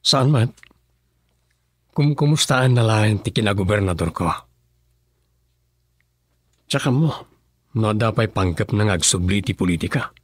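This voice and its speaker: An actor playing a composed governor chatting with his wife in a measured way, in a radio drama. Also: fairly low pitch, breathy edge, no room sound, clean studio sound. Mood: calm. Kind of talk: Ilocano radio drama acting.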